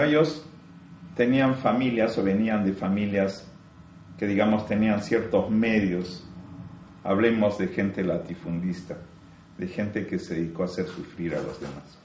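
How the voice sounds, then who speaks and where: Male spiritual teacher, low voice, echoey room, slow emphatic delivery, rhetorical questions. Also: darkish tone, a little hiss or hum. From Peru. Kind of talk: spiritual teaching talk to a group.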